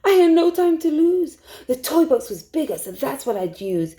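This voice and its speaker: A woman storytime teacher reading a rhyming picture book aloud to young children in an expressive way. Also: sits high, moderate pace. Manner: expressive, hushed suspense, asks listeners questions.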